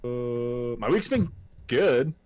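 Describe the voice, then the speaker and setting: A man's voice: mid-range voice, animated, slow pace, a little mellow, dry recording. A man in his twenties co-hosting a podcast in casual chat, loose and profane, laughing often. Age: 20s. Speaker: podcast co-host.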